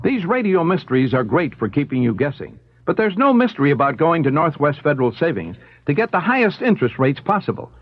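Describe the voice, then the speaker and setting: A man's voice: a little high, very animated, fast talker, hardly any pauses, soft background music. A man working as a radio announcer, reading a scripted advertisement. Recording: dry, slightly dull radio broadcast.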